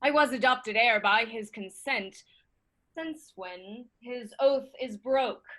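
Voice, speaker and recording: A woman's voice: fairly high pitch, takes it slowly, pushed and bright. A woman playing a proud, defiant young male claimant to a throne in a Shakespearean verse reading, with a haughty, declamatory delivery. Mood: haughty challenge. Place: remote reading over a video call.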